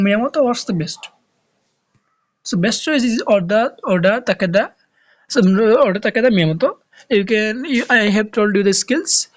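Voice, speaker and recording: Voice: mid-range voice, dull audio. Hesitant Bangladeshi-accented game tutorial voice. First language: Bengali. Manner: hesitant, often restarting words and searching for terms. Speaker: game guide creator. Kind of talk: casual screen-recorded game tutorial.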